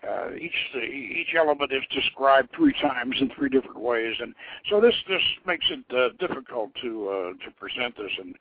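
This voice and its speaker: A man hosting a radio show, speaking in halting, deliberate phrases with a husky voice, as storyteller and presenter. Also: medium pitch, sing-song, dry recording. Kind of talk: informal show introduction and dramatic story reading. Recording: tinny phone-line radio sound.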